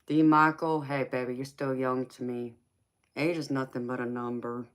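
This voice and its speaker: A woman's voice: extremely low pitch, fairly even intonation, normal speed, clean tone. A woman in her forties, a tarot reader chatting casually with viewers on a live stream and answering their comments by name. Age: 40s.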